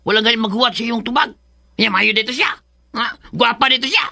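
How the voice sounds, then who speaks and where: A cowardly Filipino sidekick for a comic radio drama. The voice is shrill and very sharp, far up in pitch. It whines and exclaims in an easily frightened way. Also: male voice, fairly quick, vocal fry, full character voice. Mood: flustered. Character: timid, panicky male sidekick, easily scared.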